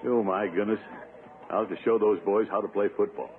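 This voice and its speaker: An actor playing a pompous, excitable middle-aged uncle and former college athlete in a 1950s radio comedy, blustering and chuckling. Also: male voice, lowish voice, a little fast, natural voice. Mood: boastful. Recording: tinny, noisy 1950s radio recording.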